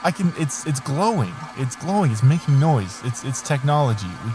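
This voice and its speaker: A man's voice: mid-range voice, animated, normal speed, over music, lightly acted. A man playing a patient magical tree that guards a forest, talking casually and hesitantly in improvised audio comedy. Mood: negotiating, hesitant. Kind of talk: improvised comic audio drama. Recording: background noise with chirping crickets.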